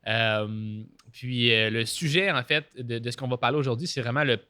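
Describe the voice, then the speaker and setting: A man's voice: mid-range voice, normal speed, bright, forceful tone, clear, resonant tone, no room sound, clean studio sound. A man with a Québécois French accent hosting a climbing podcast, talking casually with many fillers and restarts. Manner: filler-heavy, restarts, frequent laughs. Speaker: podcast host.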